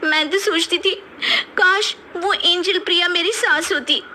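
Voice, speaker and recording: A woman's voice: very high voice, measured pace, pushed and bright, slight background noise, pitched above the performer's own voice, full character voice. A woman voicing a young Indian daughter-in-law, touchy but affectionate, in a moral cartoon story. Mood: delighted, excited.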